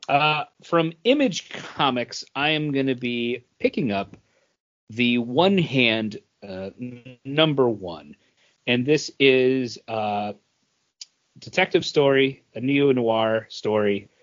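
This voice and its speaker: A man co-hosting a podcast, giving a casual comic book preview in a halting way with deliberate pauses. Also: mid-range voice, expressive. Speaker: podcast co-host. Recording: dull, lo-fi podcast audio.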